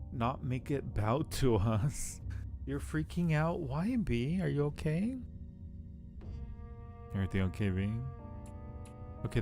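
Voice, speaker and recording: A man hosting a casual game livestream, reading story text aloud and chatting between murmured asides. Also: medium pitch. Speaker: livestream host. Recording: music behind the voice. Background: music.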